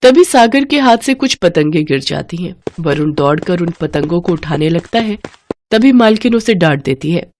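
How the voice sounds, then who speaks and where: A woman's voice: on the low side, lively intonation, moderate pace, darkish tone, a little hiss or hum. A woman reading a scripted moral story for children as the narrator.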